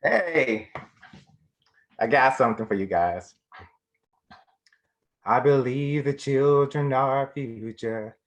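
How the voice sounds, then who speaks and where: A man plays a big-hearted garbage man who has known grief. He is middle-aged, with a medium-pitched voice. His delivery is earnest and plain-spoken, like a dramatic monologue. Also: slow, long pauses, lightly acted. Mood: tender. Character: big-hearted, grieving garbage man encouraging young graduates.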